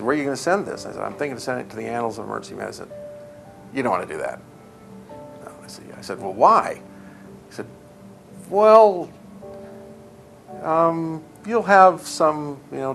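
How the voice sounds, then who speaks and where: A man's voice: mid-range voice, creaky. A man recalling past events in a documentary interview, telling anecdotes and quoting remembered conversations.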